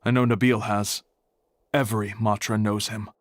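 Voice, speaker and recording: An actor playing a reserved, serious archive keeper in a video game, speaking in a measured, guarded way. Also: male voice, lowish voice, level tone, dry recording, studio quality, natural voice. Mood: matter-of-fact.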